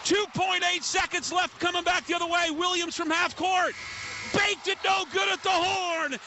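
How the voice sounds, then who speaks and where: A man's voice: extremely high pitch, fairly even intonation, moderate pace. A man giving urgent live basketball play-by-play as the announcer. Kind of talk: urgent live sports commentary. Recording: loud arena noise behind commentary. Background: basketball arena noise, bouncing ball.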